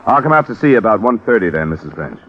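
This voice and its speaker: An actor playing a tough American insurance investigator in old-time radio style, businesslike and matter-of-fact. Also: male voice, fairly low pitch, very animated, racing. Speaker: lead actor and narrator. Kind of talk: 1940s-50s radio detective acting, clipped report narration. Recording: dull, band-limited old radio recording.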